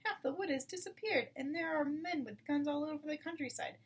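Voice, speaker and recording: A woman voicing an excitable, despairing male badger in a storybook, exclaiming anxiously. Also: sits high, brisk, pitched above the performer's own voice, lightly acted. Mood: alarmed. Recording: distant mic with clear background noise.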